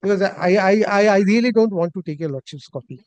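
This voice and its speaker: A man with an Indian Bengali accent speaking deferentially as an advocate before a judge, halting and pleading. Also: high-pitched, animated, darkish tone, no room sound. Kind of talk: deferential courtroom exchange. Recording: slightly dull, lo-fi audio.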